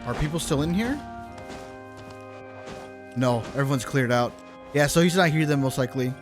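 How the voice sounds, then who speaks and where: A man's voice: a little high, normal speed. A man streaming a game live, chatting casually and enthusiastically, rambling and repeating his phrases. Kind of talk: casual livestream chat and reaction. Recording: stream with game music behind. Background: game music.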